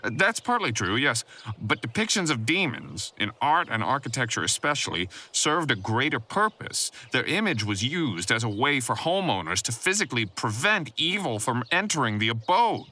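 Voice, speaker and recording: A man's voice: mid-range voice, sing-song, moderate pace, sharp tone. A man voicing a prim, learned professor who lectures formally and precisely on a field trip in an audio drama. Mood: lecturing, encouraging.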